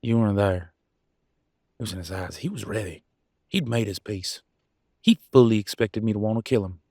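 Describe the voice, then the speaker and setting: Man acting a low-voiced, defiant werewolf alpha. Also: a little mellow, no echo, clean studio sound. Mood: earnest. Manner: emphatic, colloquial, with dramatic pauses and swearing. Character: Damien, conflicted male werewolf pack alpha, defiant and remorseful. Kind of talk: scripted audio roleplay, one side of an argument.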